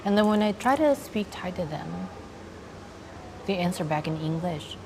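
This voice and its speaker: Young filipina woman chatting soft and halting, noisy background. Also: lowish voice, lively intonation. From Philippines. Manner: soft-spoken, hesitant with many pauses, laughs often. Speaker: interviewee. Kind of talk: casual interview chat.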